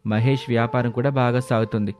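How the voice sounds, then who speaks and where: A man's voice: mid-range voice, steady tone, a little fast, a little mellow, close to an everyday voice. A man playing a blunt, reproachful father of a household in a cartoon family story. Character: resentful, blunt adult son and father.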